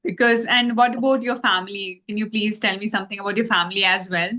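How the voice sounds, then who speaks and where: A woman's voice: mid-range voice, steady tone, quick pace, pushed and bright. Hindi-speaking woman host. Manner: attentive, frequent short acknowledgements. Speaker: interviewer, host. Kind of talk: conversational interview.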